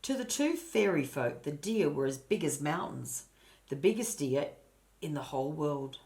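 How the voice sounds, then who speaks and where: A woman's voice: low voice, lively intonation, normal speed, darkish tone. An older woman narrating a children's storybook expressively, in a grandmotherly way and addressing her listeners. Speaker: storybook reader.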